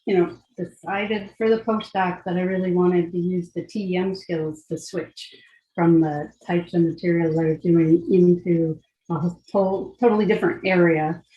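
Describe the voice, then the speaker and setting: Female scientist panelist, lowish voice, informal explaining style, echoey online-call audio. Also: steady tone, moderate pace, highs cut off. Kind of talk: informal career account on a panel. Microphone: video call.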